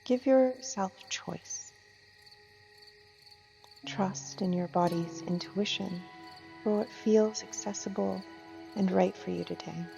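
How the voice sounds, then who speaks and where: A woman leading a guided meditation as a yoga teacher, gentle and soothing, with soft phrasing and long unhurried pauses. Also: medium pitch, vocal fry. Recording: muffled, long reverb, music and insect sounds.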